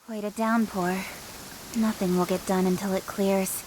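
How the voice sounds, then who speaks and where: An actress playing a composed, reassuring woman in a video game, speaking in a measured way. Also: mid-range voice, steady tone, a little hiss or hum, natural voice, low-quality recording. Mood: calm, wry. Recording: loud rain and thunder behind.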